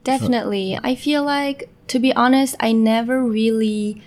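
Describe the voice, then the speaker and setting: A woman's voice: on the high side, steady tone, moderate pace, darkish tone. A young woman guest raised in Poland, talking candidly in a casual interview with many fillers. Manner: candid, filler-heavy, many "like" and "you know".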